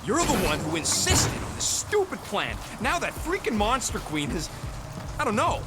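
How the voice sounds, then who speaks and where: An actor voicing a small, hot-tempered but loyal creature in a video game, brash and blunt. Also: male voice, high voice, huge pitch swings, normal speed, background noise. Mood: irritated. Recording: loud music behind.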